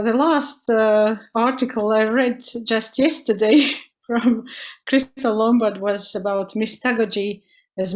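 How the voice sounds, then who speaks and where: A woman hosting an online lecture, introducing the guest speaker with many pauses and fillers. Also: medium pitch, darkish tone, rough recording. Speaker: lecture host. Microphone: video call.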